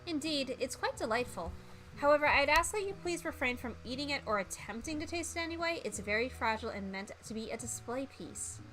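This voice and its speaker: A woman's voice: high voice, animated, fairly quick, fairly bright tone, faint music behind. A woman voicing a haughty, two-faced young female culinary student in a visual novel, prim and condescending. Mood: prim, snippy.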